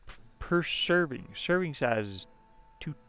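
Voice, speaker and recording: A man with a creaky, gravelly voice giving casual, rambling commentary as a game developer showing his work, often muttering to himself and repeating words. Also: fairly high pitch, expressive, unhurried, fairly bright tone, faint music behind.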